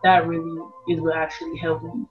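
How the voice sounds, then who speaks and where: Low-pitched young American woman, dull audio. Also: monotone, fairly quick. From Las Vegas, United States. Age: young adult, about 18. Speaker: college basketball player being interviewed. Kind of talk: casual interview answers. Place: indoor room, on video call. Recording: dull video-call audio, music underneath. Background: music.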